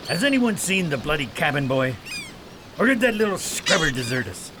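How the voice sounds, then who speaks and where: An actor playing a big, gruffly kind veteran pirate sailor in an audio drama, plain-spoken. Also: male voice, fairly high pitch, very animated, normal speed, sharp tone, a little hiss or hum.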